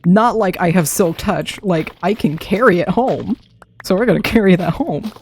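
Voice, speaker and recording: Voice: mid-range voice, moderate pace, darkish tone, slight background noise. Chatty streamer with a dry throat. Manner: chatty, talks to self while playing, laughs often. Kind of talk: casual live gameplay commentary.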